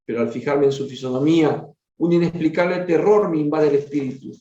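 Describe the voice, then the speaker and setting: A man's voice: a little high, brisk, warm tone, distant mic, natural voice. A man reading the part of a troubled, weary father in a spiritual storybook, earnest and formal. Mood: anguished. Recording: dull, roomy lo-fi recording.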